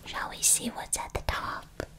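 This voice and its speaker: Curious young girl mouse for a bedtime storybook, whispered softly and slowly by a woman. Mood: awed. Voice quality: soft whisper. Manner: slow, hushed storytelling.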